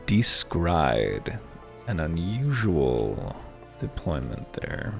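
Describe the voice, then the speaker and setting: Very deep-voiced man, wry and gravelly. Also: big pitch swings, extremely slow, soft background music. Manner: wry, dry humor. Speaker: video game playthrough host. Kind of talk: casual wry game commentary.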